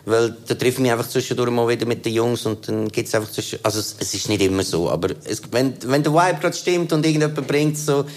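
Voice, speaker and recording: Brief: male rapper, mid pitch, rapid excited slangy talk. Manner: enthusiastic, slangy, restarts often. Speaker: rapper, interview guest. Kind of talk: casual interview chat.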